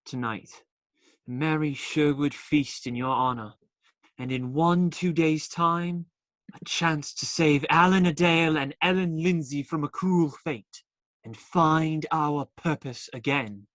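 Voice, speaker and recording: An actor playing a bold, roguish, idealistic outlaw hero in a radio play. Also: male voice, a little high, measured pace, fairly bright tone, no room sound. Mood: grand, inviting.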